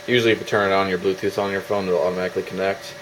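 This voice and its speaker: A man's voice: low voice, little pitch movement, racing, background noise. A man giving a casual, off-the-cuff demo as a DIY builder, thinking aloud as he handles his gear.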